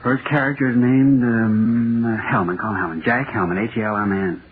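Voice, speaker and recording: An actor playing a nervous, overworked male author in 1940s radio drama, halting and muttering to himself. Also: medium pitch, fairly even intonation, natural voice. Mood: uneasy, musing. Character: jittery, overworked male horror-play author, restless and self-doubting. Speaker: radio playwright dictating a script. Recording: scratchy, noisy, tinny old radio broadcast.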